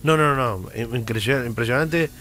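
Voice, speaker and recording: A man with a Rioplatense Argentine accent chats on a radio show, spinning nostalgic, jokey stories and trailing off mid-phrase. His pitch sits in an ordinary middle range. Soft music plays faintly behind him in the studio. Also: moderate pace. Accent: Rioplatense Argentine Spanish. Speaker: radio co-host. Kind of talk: casual radio banter.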